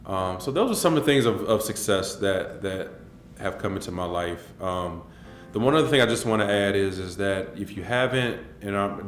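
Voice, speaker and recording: A man's voice: lowish voice, roomy sound, slight background noise. A man in his forties in a filmed interview, telling his life story in an earnest, rambling way with many restarts. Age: 40s.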